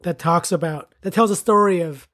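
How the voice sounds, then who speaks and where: A woman's voice: fairly low pitch, moderate pace, a bit bright. A woman co-hosting a casual film discussion, chatty and often searching for words.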